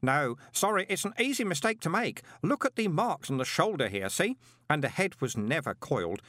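Man as earnest odd boy, high-pitched, lively intonation, polite, fussily precise hobbyist, storybook character. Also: normal speed, no room sound, studio quality, heavily acted. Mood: absorbed, precise. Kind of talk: audiobook character voice.

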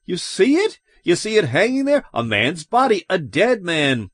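A man's voice: fairly high pitch, sing-song, a little slow, dry recording, studio quality, pitched above the performer's own voice, full character voice. A man voicing a horrified male shopkeeper who is agitated, exclamatory and increasingly hysterical in a story reading.

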